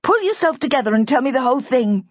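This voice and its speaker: A woman voicing a forceful young wife who pleads and presses her husband for the truth. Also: sits high, big pitch swings, fast, no echo, highs cut off, voice pushed up. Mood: urgent. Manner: urgent, insistent.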